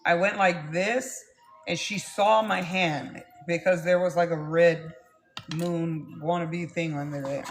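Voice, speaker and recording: A woman's voice: lowish voice, steady tone, takes it slowly, faint music behind. A woman, telling her life story to camera in a blunt, rambling way and swearing freely. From Texas, US. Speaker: vlogger.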